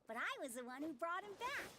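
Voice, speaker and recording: A woman voicing an earnest young desert girl in a game, slow-spoken and childlike. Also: extremely high pitch, very animated, very noisy. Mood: proud. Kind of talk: game voice acting. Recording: game audio with loud music behind.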